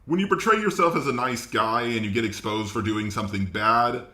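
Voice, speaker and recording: A man's voice: mid-range voice, a little fast, sharp tone. A man giving casual opinion commentary in a blunt, informal way. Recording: echoey room.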